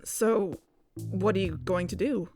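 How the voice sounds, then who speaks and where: A woman playing a skeptical, level-headed podcast investigator in an audio drama, speaking in a measured, cautious way. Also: medium pitch, mellow, soft background music, natural voice. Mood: sober. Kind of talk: conversational audio fiction acting.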